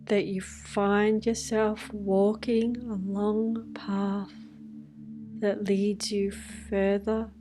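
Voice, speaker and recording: A woman guiding a scripted meditation in a hushed, soothing manner, leaving long pauses between phrases. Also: medium pitch. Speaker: meditation guide. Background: music with singing bowls.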